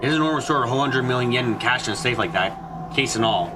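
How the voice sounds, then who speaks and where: A man's voice: medium pitch, lively intonation, rapid-fire, noisy background, pitched below the performer's own voice, close to an everyday voice. A man playing a wary grown man confessing a secret in a visual novel fan dub, grave and guarded. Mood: grave, uneasy.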